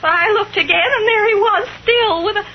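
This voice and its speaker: An actress playing an earnest, emotional young bride in 1930s radio style, breathless and pleading. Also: female voice, extremely high pitch, moderate pace. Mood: agitated. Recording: dull, noisy old 1930s radio recording.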